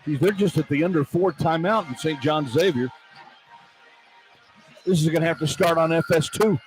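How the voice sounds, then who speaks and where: A man's voice: on the high side, normal speed, rough recording. A man working as a play-by-play radio broadcaster, talking casually and hosting sports coverage on a headset mic. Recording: music bleeding in behind voice. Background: clear music in background.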